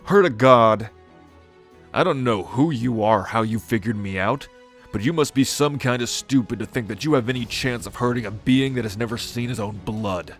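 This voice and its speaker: A man's voice: mid-range voice, normal speed, faint music behind, close to an everyday voice. A man playing a cocky, irritable male storm god with a blunt, casual way of talking in a fantasy audio roleplay. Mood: cocky scorn.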